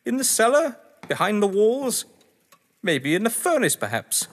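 A grandiose, sardonic gentleman of letters, played by a man in an audio drama. His voice sits high and swoops freely through eloquent, defensive speeches, ringing with long reverb. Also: big pitch swings, unhurried, exaggerated voice. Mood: indignant, mocking. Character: haughty, theatrical games-obsessed male writer, defensive under suspicion. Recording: long echoing hall reverb.

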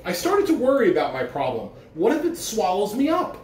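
Middle aged guy talking to schoolkids, really animated up and down, roomy living room sound a bit far off. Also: high voice, brisk, slight background noise. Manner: expressive, addressing children. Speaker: school announcer reading aloud. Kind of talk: morning announcements and children's story read-aloud. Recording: roomy, recorded away from the mic.

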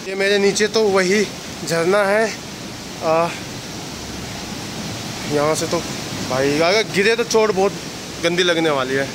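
Tired young man vlogging beside roaring water. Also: high voice. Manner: chatty, addresses viewers as guys and bhai. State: tired, out of breath from climbing. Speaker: travel vlogger. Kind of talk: casual selfie vlog narration. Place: wet rocky cave and forest trail outdoors, by a waterfall. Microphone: handheld phone camera at arm's length. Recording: loud rushing water noise behind. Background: waterfall and stream.

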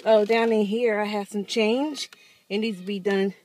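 A woman's voice: medium pitch, fairly even intonation, moderate pace, a little mellow, a little hiss or hum. A woman in her thirties chatting casually to viewers while filming a tour of her car on a handheld phone, laughing often. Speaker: vlogger. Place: outdoors and inside a parked car. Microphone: handheld phone camera.

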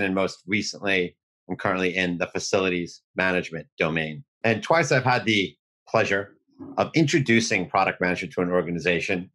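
Brief: man, 40s, deep voice, measured mentor presenting on a video call. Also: fairly bright tone. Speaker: mentor giving a talk. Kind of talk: online presentation.

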